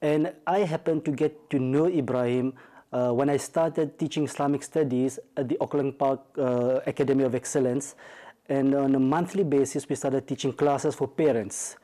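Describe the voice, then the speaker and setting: A man from Mauritius with a Mauritian accent, a marital counselor speaking as a guest on a talk show, measured with frequent hesitations and restarts. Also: medium pitch, steady tone, dark tone. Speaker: marital counselor and Islamic studies teacher.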